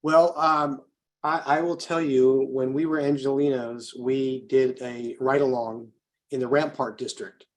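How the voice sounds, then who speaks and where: An American man with a medium-pitched voice gives conversational political commentary as a co-host. He speaks anecdotally, putting questions to the listener and voicing both sides of imagined exchanges. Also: fairly even intonation, unhurried, poor audio. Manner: anecdotal, poses rhetorical questions and acts out dialogue.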